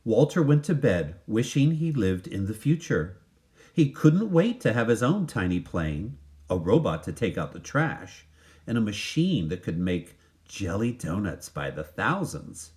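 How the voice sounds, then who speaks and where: A man reading a children's picture book aloud in an animated, dramatic manner. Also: lowish voice, measured pace. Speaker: story reader.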